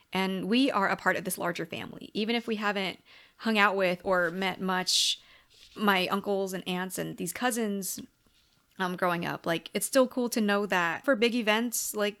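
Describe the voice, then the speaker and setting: A woman's voice: medium pitch, a bit bright. Young US woman, casual vlogger, mid-range voice, chatty and filler-heavy. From United States. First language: English. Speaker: tarot YouTuber. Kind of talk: casual sit-down video diary. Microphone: camera mic at a sit-down chat.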